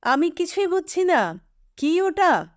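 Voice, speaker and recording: A woman voicing an excitable, easily amazed young male engineer in an audio story, exclaiming with wonder. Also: extremely high pitch, slow pace, dry recording, clean studio sound, voice pushed up, heavily acted. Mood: startled amazement.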